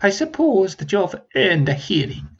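A man voicing a scheming, slippery male dragon in a storybook reading, with a shrill, hissing voice and slow, drawn-out, mocking speech. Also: very animated, husky, muffled recording, exaggerated voice.

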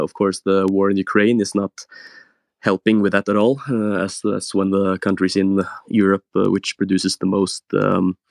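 Halting low gravelly man. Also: dry recording, studio quality. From Norway. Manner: halting, many filler sounds. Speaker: guest speaker. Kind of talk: casual chat on a live audio room.

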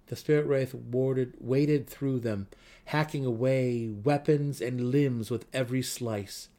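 A man's voice: mid-range voice, fairly even intonation, takes it slowly, warm tone. A man narrating a fantasy story in a dramatic reading style.

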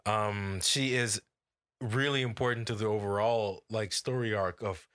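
Laid-back slangy man, bright voice. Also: on the low side, fairly even intonation, a little slow. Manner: laid-back, slangy, lots of fillers and profanity. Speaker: podcast co-host. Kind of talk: casual chat.

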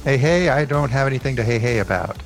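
A man appearing as a podcast guest, explaining a software design idea in a measured, thoughtful way. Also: mid-range voice, expressive, faint music behind, poor audio.

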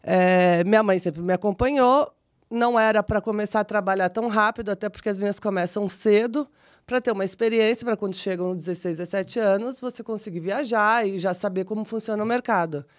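Casting: woman, 40s, São Paulo Brazilian accent, lively self-mocking guest storyteller. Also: mid-range voice, fairly quick. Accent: São Paulo Brazilian Portuguese. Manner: chatty, animated, self-deprecating, frequent restarts. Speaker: guest, model and actress. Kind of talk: casual interview storytelling.

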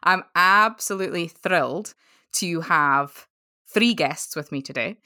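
A woman's voice: fairly low pitch, unhurried, sharp tone, no room sound, clean studio sound. A woman hosting a fan podcast, chatty and effusive, thanking listeners and guests. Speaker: podcast host. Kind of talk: casual podcast chat.

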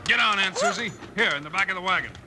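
A man's voice: high-pitched, fast, loud music behind the voice, close to an everyday voice. An actor playing a firm, plainspoken American lawman hero in an old radio Western. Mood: urgent. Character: steady, commanding young-sounding Western lawman hero. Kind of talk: 1950s old-time radio Western acting. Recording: old radio recording, faint noise.

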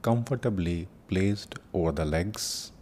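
A man leading a guided meditation as teacher, giving soft-spoken instructions with long pauses. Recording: noisy with low rumble.

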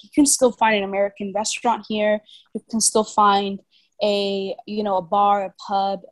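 A woman's voice: mid-range voice, a little mellow. A young woman from Vietnam speaks casually as a podcast guest, thinking aloud, rephrasing herself and often saying "like". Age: young adult. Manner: reflective, self-correcting, frequent "like". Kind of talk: casual interview conversation.

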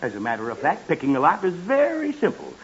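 A man's voice: on the high side, wildly swooping pitch, fast talker, dull audio, a little hiss or hum. An actor playing a self-important, exasperated middle-aged father who sputters through a 1940s radio comedy, on an old broadcast recording. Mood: eager, boastful.

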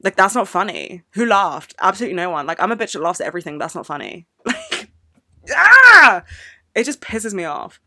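A woman's voice: medium pitch, big pitch swings, normal speed, sharp tone, a little hiss or hum. A young woman hosting a solo podcast, talking off the cuff with emotion and plenty of swearing. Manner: emotional, swears a lot, ranting. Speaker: podcast host. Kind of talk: unscripted solo podcast monologue. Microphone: podcast microphone.

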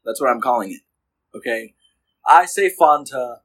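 A man's voice: medium pitch, expressive, normal speed, breathy edge. A young man co-hosting a podcast in casual chat, playful and laughing often. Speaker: podcast co-host. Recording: poor audio quality.

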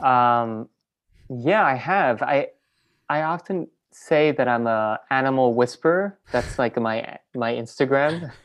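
A woman filmmaker and teacher, in a relaxed interview, who talks in loose, rambling sentences full of fillers and restarts. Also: very deep voice, takes it slowly. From French Venezuelan, raised in New York. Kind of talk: casual interview conversation.

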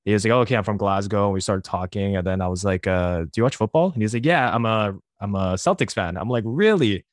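A man's voice: fairly low pitch, quick pace, few pauses, dry recording, studio quality. A man hosting a podcast, chatting casually with a guest, laughing easily and using lots of fillers. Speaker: podcast host. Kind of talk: casual interview chat.

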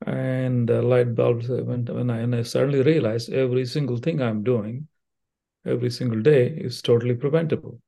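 An older man with an Indian accent explains things in a measured, unhurried way, often pausing on fillers and restarting phrases. His voice has a gravelly, fry-laden edge and a mellow, dark tone. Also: medium pitch, fairly even intonation. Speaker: cardiothoracic surgeon, guest. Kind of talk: interview answers, explanatory.

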